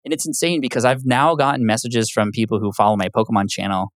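A man's voice: mid-range voice, fairly even intonation, fast, no room sound, clean studio sound. A man talking as a podcast guest in casual conversation, earnest and patient. He explains things with many fillers. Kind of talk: casual interview talk.

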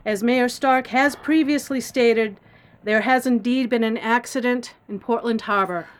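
A woman acts a government press secretary in an audio drama. She is composed, brisk and official, with a fairly high voice and formal, factual delivery. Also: lightly acted. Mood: grave, official.

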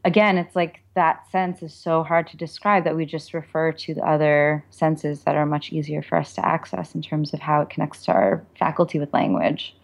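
A woman speaks in a very low register as an interview guest. She explains her thoughts conversationally, reflecting as she goes in informal language. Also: normal speed, vocal fry, few pauses. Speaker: author and perfumer, interview guest.

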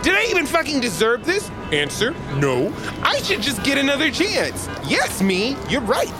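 A man's voice: extremely high pitch, huge pitch swings, moderate pace, full character voice. A man playing a cocky, dramatic and flustered young space traveler stranded on Earth in an audio drama, theatrical and exasperated. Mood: indignant. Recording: music under the voice.

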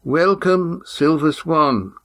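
Man doing a stiff formal old guy, thin firm voice, slow and pitched up. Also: a bit bright.

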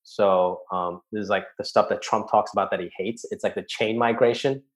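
A man from Hong Kong, a guest comedian, telling stories in a relaxed, conversational way and dropping his voice for asides. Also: lowish voice, normal speed. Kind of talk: casual podcast chat, storytelling.

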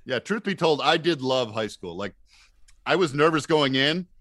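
A man's voice: mid-range voice, expressive, moderate pace, fairly bright tone, no room sound. Wry man in a dry, close recording. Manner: wry, self-deprecating, acts out quoted dialogue. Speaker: podcast guest, comedian. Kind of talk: casual comic storytelling chat.

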